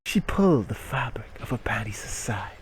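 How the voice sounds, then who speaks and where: A man's voice: medium pitch, big pitch swings, normal speed, whispered. A man giving a comic dramatic reading of an erotic short story in a theatrical narrating manner. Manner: theatrical narration breaking into incredulous asides and giggles. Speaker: reader performing a dramatic reading.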